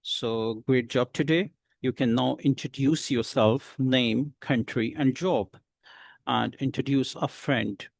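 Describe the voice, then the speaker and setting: A man teaching a beginner lesson, explaining slowly and patiently with many pauses. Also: mid-range voice, gravelly, no echo. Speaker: English teacher.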